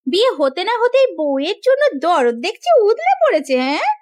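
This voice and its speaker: A woman's voice: very high-pitched, big pitch swings, fairly quick. A woman voicing a haughty, scolding sister-in-law from a rich household in a melodramatic cartoon. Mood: bitter taunting. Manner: scolding, taunting.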